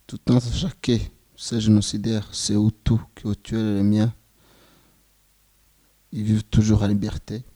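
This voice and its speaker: A Rwandan man in his twenties giving solemn, measured testimony as a genocide survivor. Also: fairly low pitch, little pitch movement, mellow, no room sound. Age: 20s. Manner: slow, grave, measured phrasing.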